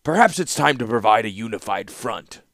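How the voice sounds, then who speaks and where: A man voicing a cunning male warlord who speaks gruffly and deliberately. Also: medium pitch, very animated, a bit bright, no echo, studio quality. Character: cunning middle-aged male warlord and ruler.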